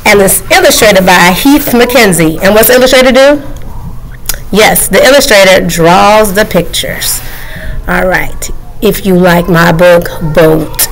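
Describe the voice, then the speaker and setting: A woman teacher reading a picture book aloud to children, gentle and questioning. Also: mid-range voice, unhurried, faint music behind, rough recording.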